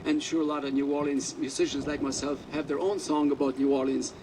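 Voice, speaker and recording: A man's voice: fairly high pitch, little pitch movement, brisk, recorded from a distance, noisy background. An Irish man whose first language is Irish Gaelic, a musician telling rambling anecdotes at a press conference. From Ireland.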